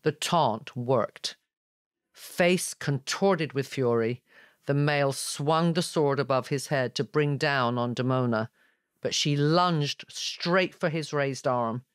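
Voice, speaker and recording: A man's voice: medium pitch, slow pace, fairly bright tone, smooth, no room sound. Male narrator, dramatic fantasy audiobook, mid-range voice, very dry studio sound.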